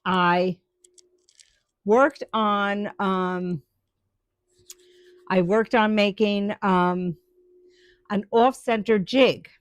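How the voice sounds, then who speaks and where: A woman's voice: mid-range voice, steady tone, extremely slow, pauses often, no room sound. A woman chatting live with viewers about her woodturning, rambling with lots of ums and restarts. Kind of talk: casual live-stream chat.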